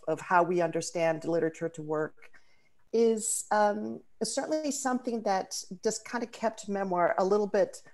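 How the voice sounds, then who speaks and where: A woman's voice: lowish voice, a little mellow. Woman from the Dominican Republic hosting. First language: Spanish. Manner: thoughtful, with frequent fillers and restarts. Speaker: host. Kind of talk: hosting a video call event. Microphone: video call.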